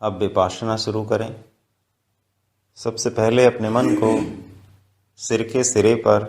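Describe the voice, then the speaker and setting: Slow, low-voiced male meditation guide. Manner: calm, measured, instructional.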